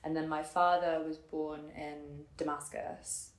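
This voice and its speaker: Low-voiced young woman, roomy sound. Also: little pitch movement. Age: 20s. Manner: reflective, halting, thinks aloud with frequent "like". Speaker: interviewee telling her family and life story. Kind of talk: casual personal storytelling. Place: indoor room in Germany. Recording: roomy echo on the voice.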